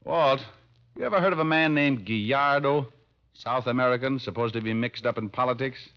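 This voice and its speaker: An actor playing a wisecracking, easygoing ex-cop private detective in an old radio drama, with a wry, offhand delivery. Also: male voice, medium pitch, moderate pace, lightly acted. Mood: brisk, curt. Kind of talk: 1940s radio detective acting, narration and dialogue. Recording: dull old radio recording, music behind.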